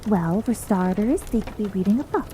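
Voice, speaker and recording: A woman's voice: mid-range voice, animated, normal speed, warm tone, a little hiss or hum, close to an everyday voice. A woman playing a caring young maid who teases and scolds in an ASMR roleplay. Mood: scolding. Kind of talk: ASMR roleplay character acting.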